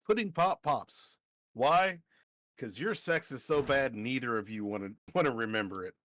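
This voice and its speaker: A man's voice: medium pitch, animated, normal speed, a bit bright. Joking man riffing on a stream. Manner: joking, crude ad-lib humor. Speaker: co-host on stream. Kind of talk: casual chat.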